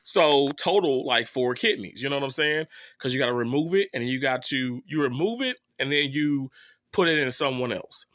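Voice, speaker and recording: A man's voice: mid-range voice, animated, normal speed, a bit bright, no room sound. A man in his thirties, sick with a cough, telling a rambling, exasperated story to his viewers with many fillers and restarts. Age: 30s. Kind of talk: casual story time monologue.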